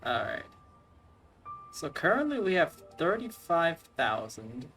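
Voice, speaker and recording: A man's voice: fairly high pitch, big pitch swings, slow, a bit bright, soft background music. A man giving casual, slangy let's play commentary, thinking aloud as he plays.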